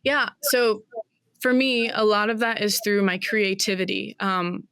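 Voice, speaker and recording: Woman, 20s, interview guest; smooth, close dry sound, reflective and searching delivery. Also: medium pitch, flat delivery, unhurried, a bit bright, no echo. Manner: thoughtful, searching, with many fillers and restarts.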